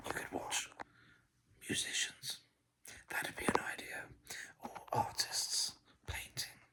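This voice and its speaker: A man doing a whispered ASMR ramble, sardonic and sweary, enunciating carefully and poshly with a crackly whisper. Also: takes it slowly. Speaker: heavy metal musician making an ASMR video.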